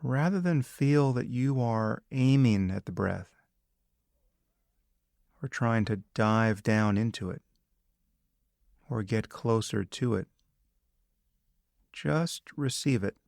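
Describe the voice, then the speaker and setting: A man leading a guided meditation, giving calm, measured instructions with long pauses. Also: medium pitch, creaky, no echo, studio quality.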